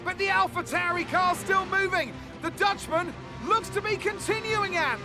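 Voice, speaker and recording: Excitable f1 commentator, really high pitched, projecting, loud music and engines behind. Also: male voice, normal speed. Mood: keyed-up. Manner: urgent, projected. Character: excitable male Formula One TV commentator. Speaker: lead race commentator. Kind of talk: fast live motor racing commentary.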